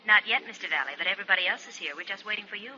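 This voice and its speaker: A woman's voice: mid-range voice, racing, pushed and bright, husky. An actress playing a polite switchboard operator in 1940s radio style. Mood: polite, brisk. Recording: dull 1940s radio recording.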